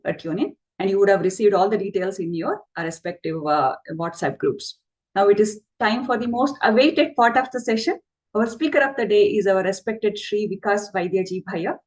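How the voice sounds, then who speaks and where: A woman's voice: medium pitch, a little mellow. A woman hosting an online class, politely introducing the speaker with frequent fillers over a video call. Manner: hesitant, many fillers, polite. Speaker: session host.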